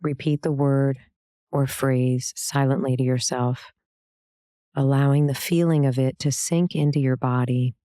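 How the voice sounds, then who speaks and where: Low, mellow woman guiding meditation. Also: no room sound, clean studio sound. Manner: calm, gentle, soothing instruction with pauses. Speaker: meditation guide, yoga teacher. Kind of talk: guided meditation.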